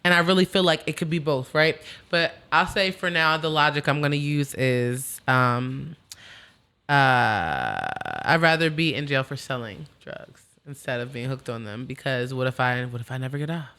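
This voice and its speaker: A woman hosting a podcast in a casual chat, talkative and quick to laugh, often repeating her words. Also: extremely low pitch, a bit bright, clean tone. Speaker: podcast host.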